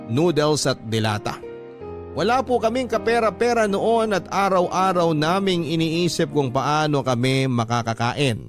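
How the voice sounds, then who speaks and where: A man plays an earnest letter writer in a radio drama, telling a life story in the first person. His mid-pitched voice is reflective and respectful, with music clearly heard behind it. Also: lightly acted. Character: earnest letter writer recalling a hungry, poor youth.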